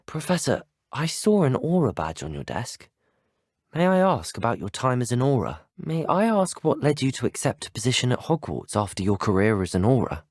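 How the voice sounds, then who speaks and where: A man's voice: medium pitch, no echo, studio quality. A man playing a polite, earnest, inquisitive teenage wizard student in a video game, speaking formal, courteous, well-spoken lines. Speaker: player character voice actor. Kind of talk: video game dialogue clips, scripted acting.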